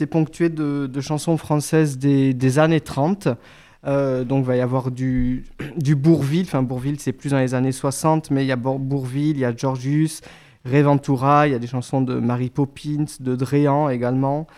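A man's voice: mid-range voice, little pitch movement, a little mellow. A man, an actor, describing his stage show in a radio interview, often restarting his sentences. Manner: halting, many restarts.

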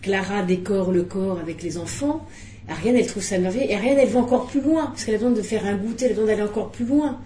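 A middle-aged woman, a film director, talking at a press conference, animated and restarting often. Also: mid-range voice, fast, reverberant. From Belgium.

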